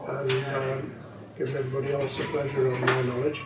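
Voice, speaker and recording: A man's voice: mid-range voice, monotone, a little fast. A man in his eighties whose first language is Welsh, an experienced snooker coach and referee looking back on his career in an interview, talking unhurriedly with many ums and restarts. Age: 80s. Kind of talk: interview, reminiscing. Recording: echoey room, distant mic, background noise.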